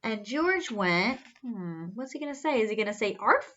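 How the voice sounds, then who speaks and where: A woman's voice: fairly high pitch, animated, normal speed, a bit bright, highs cut off. A woman reading a storybook aloud to children in a theatrical way, asking questions and reacting with wonder. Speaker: story reader, children's teacher-like host.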